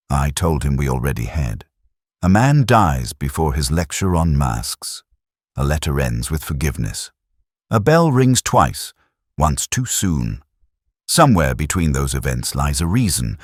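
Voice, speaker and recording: A man narrating a scripted first-person detective story in a measured, literary, world-weary manner. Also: extremely low pitch, no echo, clean studio sound.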